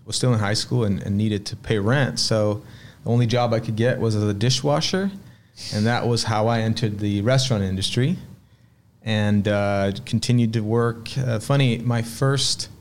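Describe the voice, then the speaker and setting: A man in his late thirties, originally from Sweden, telling his story as a restaurant owner in a relaxed, reflective way. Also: lowish voice, a little slow, a little hiss or hum. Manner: relaxed, reflective storytelling with frequent "uh" and repeated words. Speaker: restaurant owner, podcast guest. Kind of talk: casual interview conversation.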